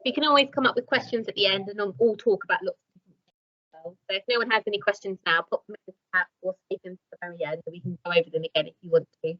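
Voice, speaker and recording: A woman's voice: medium pitch, very fast, no room sound. Woman running a school video chat, friendly and encouraging, dry muffled laptop sound. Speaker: moderator of a school discussion. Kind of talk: casual video-call discussion. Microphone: laptop microphone on a video call. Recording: dull lo-fi laptop audio.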